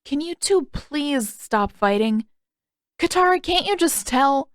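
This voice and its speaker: A woman's voice: sits high, animated, slow, dry recording, studio quality, voice pushed up. A woman voicing an earnest young boy, a tentative, pleading peacemaker in a story reading.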